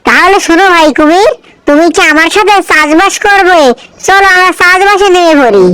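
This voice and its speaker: A performer voicing a cunning, smooth-talking cartoon fox who coaxes with false friendliness. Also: very high-pitched, normal speed, pushed and bright, no room sound, low-quality recording. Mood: coaxing, friendly. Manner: coaxing, wheedling, falsely friendly. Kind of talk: children's folk-tale cartoon dialogue.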